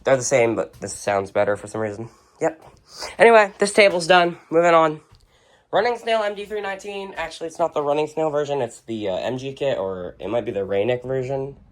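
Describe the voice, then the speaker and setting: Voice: medium pitch, lively intonation, brisk. A collector of unclear sex talks through a home collection in a mid-range voice. The delivery is casual and unscripted, with blunt, offhand little verdicts on each item. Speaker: radio collector presenting a collection. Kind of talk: casual unscripted show-and-tell. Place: indoors at home, among shelves and tables of radios.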